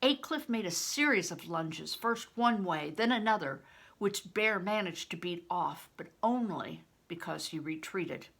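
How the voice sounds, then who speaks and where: An older woman reading a children's novel aloud as a storyteller, in expressive, deliberate audiobook narration. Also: on the low side.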